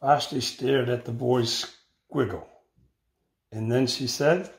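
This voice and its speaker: An older man reads a children's picture book aloud in deliberate phrases, recorded indoors on a phone. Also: mid-range voice, fairly even intonation. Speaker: storybook reader. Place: indoor room with bare walls. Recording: roomy, echoing home recording.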